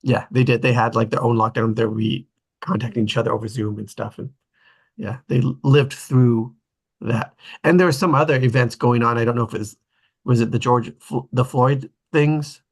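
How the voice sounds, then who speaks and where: A man's voice: medium pitch, normal speed, gravelly. A man with a mid-range voice leads a casual group discussion. His phrasing is informal and a little tentative, as if thinking aloud with the others. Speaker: table read host.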